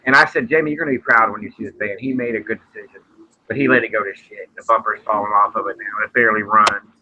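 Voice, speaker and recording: Man, forties, quick rambling talk, sharp bright voice, dull lo-fi recording. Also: lowish voice, fairly even intonation. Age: 40s. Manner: rapid, rambling storytelling. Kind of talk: casual chat. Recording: dull lo-fi sound with faint noise.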